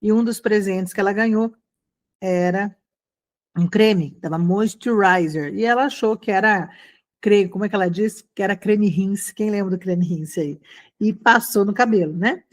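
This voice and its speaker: A woman's voice: mid-range voice, moderate pace. Female online teacher, Brazilian accent, mid-range voice, chatty and encouraging. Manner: animated, encouraging, chatty with frequent check-in questions. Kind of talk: conversational lesson addressed to students.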